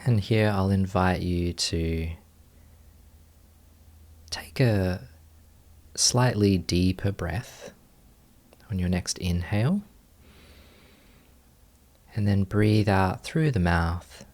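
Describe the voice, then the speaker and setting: A man leading a guided meditation as a teacher, speaking gently in short, unhurried phrases with long pauses. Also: low voice.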